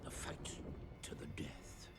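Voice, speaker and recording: An actor playing an ominous storyteller of pirate sea legends in dramatic, gravelly game narration over music. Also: male voice, takes it slowly, whispered, natural voice. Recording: game cutscene with loud music.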